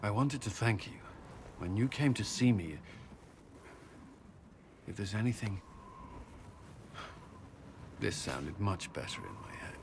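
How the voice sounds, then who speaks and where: A low voiced ex-templar commander in a video game, earnest and haunted, kinda halting, noisy background. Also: male voice, sing-song, creaky, full character voice. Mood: nervous, awkward. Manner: hesitant, halting, earnest, formal phrasing. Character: earnest, guilt-haunted former Templar military commander, man. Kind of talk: dramatic video game voice acting.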